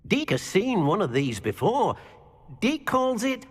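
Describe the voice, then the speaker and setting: Little house-elf, eager, servile, slow halting delivery, lively swooping pitch, video game character. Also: a little hiss or hum. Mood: eager, delighted. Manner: refers to self by name, halting and earnest. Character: small, eager, servile house-elf speaking in third person. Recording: long hall reverb.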